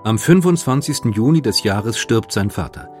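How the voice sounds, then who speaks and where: A man reading scripted biographical narration as a documentary narrator, measured and factual. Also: medium pitch, expressive, a little mellow, faint music behind.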